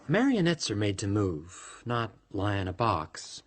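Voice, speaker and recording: Sentient android double: husky, highly inflected, resentful and lovesick, quietly menacing radio drama villain. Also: male voice, wildly swooping pitch, a little slow, exaggerated voice.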